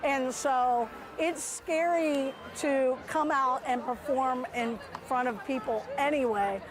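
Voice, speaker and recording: A woman music educator explaining an event in a clear, informative way. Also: sits high, slow pace.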